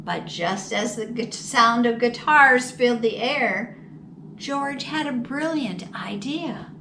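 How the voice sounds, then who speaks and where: A woman reads a children's storybook aloud with dramatic expression, taking her time over each phrase. Her voice is very bright and fairly high. It is picked up from a little way off in a roomy space. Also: lively intonation, slow. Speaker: library storytime reader. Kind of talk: children's picture book read-aloud. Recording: roomy, distant mic with faint noise.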